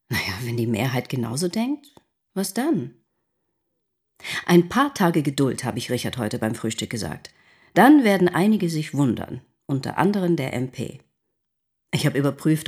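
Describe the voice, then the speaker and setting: Radio drama role: self-assured politician's wife, dismissive, low husky voice. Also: female voice, darkish tone, exaggerated voice. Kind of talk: dramatic first-person monologue.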